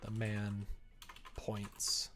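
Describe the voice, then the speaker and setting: A man's voice: on the low side, monotone, extremely slow, fairly bright tone, a little hiss or hum. A man live-streaming casual, playful chat as he writes and codes, sometimes mumbling to himself. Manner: playful, joking asides. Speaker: live streamer making a visual novel. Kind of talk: casual chat while writing and coding.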